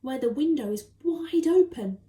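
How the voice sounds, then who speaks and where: A woman voicing a gentle female giraffe from a children's storybook, speaking softly and carefully. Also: high voice, expressive, moderate pace, echoey room. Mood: urgent, hushed. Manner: soft, careful delivery.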